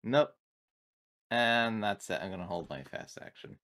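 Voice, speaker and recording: A man's voice: on the low side, lively intonation, unhurried, a bit bright, no echo, studio quality. A man playing a tabletop game, chatting casually about his turn.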